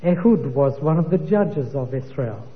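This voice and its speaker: A man preaching a sermon, teaching slowly and emphatically and quoting scripture as he goes. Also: a little high, expressive. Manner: slow, emphatic Bible teaching with quoted scripture. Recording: tinny phone-like sound in a long-reverb hall.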